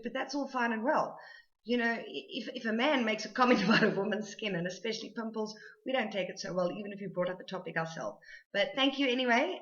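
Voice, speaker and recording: A woman's voice: mid-range voice, a bit bright, dull audio. A South African woman chatting casually to camera for her vlog, rambling with lots of ums and restarts. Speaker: vlogger.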